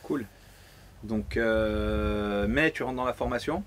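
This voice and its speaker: Male host, lower voice, laid-back chatty interviewer. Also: slow, a little hiss or hum. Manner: relaxed, chatty, loose phrasing. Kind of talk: casual interview. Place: outdoors by a pool.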